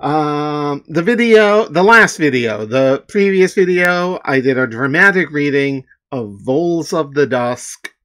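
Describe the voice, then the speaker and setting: A man's voice: a little high, lively intonation, unhurried, hardly any pauses, a bit bright. A man talking casually and emphatically as a comic author introducing his own dramatic reading.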